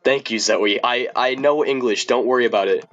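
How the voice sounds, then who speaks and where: A man's voice: normal speed, a bit bright, muffled recording, faint music behind. A sick man live-streaming, chatting casually to viewers and stumbling over his words. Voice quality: deep voice. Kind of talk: casual live-stream chat and reading aloud.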